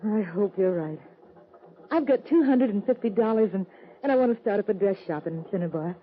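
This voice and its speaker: An actress plays a young American woman heading west, hopeful and polite. She speaks in an earnest, soft way typical of old radio drama. The recording is muffled. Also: mid-range voice, lively intonation, a little fast, a little hiss or hum, natural voice. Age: young adult. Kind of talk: old-time radio western acting.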